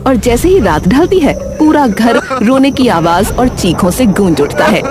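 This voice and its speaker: A woman's voice: on the high side, big pitch swings, measured pace, over loud music. A woman narrating a scripted dramatic story as its storyteller.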